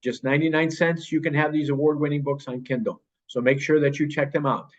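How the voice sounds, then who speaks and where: Man, show host, higher-than-average voice, slightly lo-fi sound. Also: steady tone, brisk. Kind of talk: spoken show introduction. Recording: slightly dull, lo-fi voice recording.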